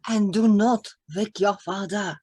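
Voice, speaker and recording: A stern older household madame in a radio play. Her husky voice moves slowly, in a scolding guardian's manner. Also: mid-range voice, fairly even intonation, a little mellow, no echo. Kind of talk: radio drama acting.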